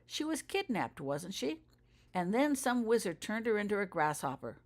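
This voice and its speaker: A polite but wary schoolboy wizard from a storybook, as a woman reader plays him. His replies are halting and unsure, with a pitch that jumps about in a very animated way. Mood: startled, wary.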